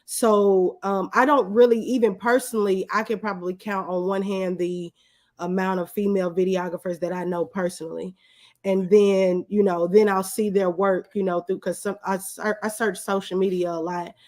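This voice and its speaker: Conversational woman guest, mid-pitched voice. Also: fairly even intonation, moderate pace, a little mellow. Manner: anecdotal, acts out quoted exchanges. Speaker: guest, videographer. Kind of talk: conversational interview answers.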